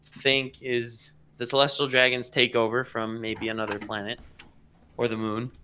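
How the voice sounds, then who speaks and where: A man hosting a casual fan discussion on an online voice chat, talking in an animated, insistent way. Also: medium pitch, normal speed, a little hiss or hum. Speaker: stream host and anime video creator.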